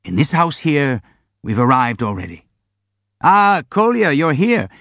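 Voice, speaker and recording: A man's voice: fairly high pitch, sing-song, measured pace, fairly bright tone, dry recording, highs cut off, pitched above the performer's own voice, heavily acted. A man voicing an elderly, boastful army general who speaks in a grandiloquent, effusive way. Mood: pompous. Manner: grandiloquent, effusive, self-pitying.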